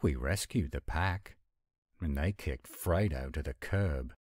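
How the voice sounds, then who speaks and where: A man voicing an affectionate, gruff-but-sweet werewolf boyfriend in a tender, intimate romantic audio roleplay. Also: extremely low pitch, slow, a little mellow, no echo, clean studio sound, full character voice. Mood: hopeful, affectionate.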